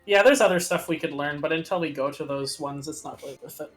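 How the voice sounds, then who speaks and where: A man with a fairly high voice talks casually over a video game, tossing in joking remarks as a co-commentator. Soft music plays behind him. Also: fast. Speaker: co-player and commentator on a game series.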